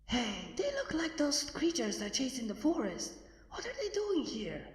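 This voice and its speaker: A woman's voice: on the high side, big pitch swings, normal speed, husky, heavily acted. A woman voicing a brash, cocky young female pegasus pony in a fanfic reading. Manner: brash, demanding questions. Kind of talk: fanfic reading, all voices by one reader.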